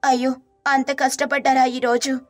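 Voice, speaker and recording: A woman with a high voice narrates a storybook tale and plays the caring wife. She speaks softly and earnestly, with light music underneath. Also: flat delivery, normal speed.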